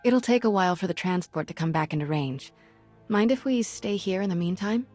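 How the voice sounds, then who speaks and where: A woman's voice: mid-range voice, animated, a little fast, a little mellow, natural voice. An actress playing a plucky young female Jedi, frank and conversational, in a video game cutscene. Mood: friendly, teasing. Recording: background music under the voice.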